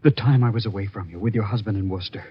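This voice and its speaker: An actor playing a lovesick, hesitant young secret lover in an old-time radio drama, intense and pleading. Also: male voice, lowish voice, racing, husky. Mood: pleading, impatient. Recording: dull, noisy vintage radio recording.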